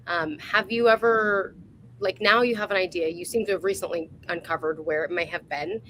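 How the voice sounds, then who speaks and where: A woman's voice: fairly low pitch, fairly even intonation, moderate pace, fairly bright tone, a little hiss or hum, low-quality recording. A woman hosting a casual interview, chatting in an informal, appreciative way. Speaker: host.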